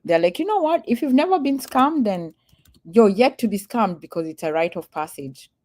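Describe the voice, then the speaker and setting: A woman's voice: medium pitch, lively intonation, moderate pace, a little mellow. A woman from Kenya talking casually to her livestream viewers, earnest and emphatic, repeating her warnings and laughing easily. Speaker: vlogger.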